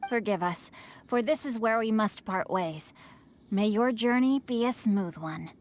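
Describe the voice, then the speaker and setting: A woman's voice: a little high, unhurried, a little hiss or hum, close to an everyday voice. A woman voicing a refined, playful, bookish teenage boy in a video game, with a florid, genteel manner.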